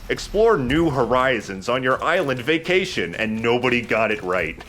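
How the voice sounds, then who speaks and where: A man's voice: mid-range voice, very animated, moderate pace, fairly bright tone, a little hiss or hum. A man giving casual, jokey let's play commentary over a video game, chatting and swearing freely. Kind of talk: casual gameplay commentary with banter. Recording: music behind the voice. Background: game music.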